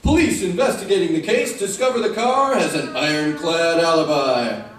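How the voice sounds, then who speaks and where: A man's voice: fairly high pitch, normal speed, a bit bright. A man with an American accent announcing on 1950s radio in an emphatic, formal style. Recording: echoey, distant old radio recording, faint noise.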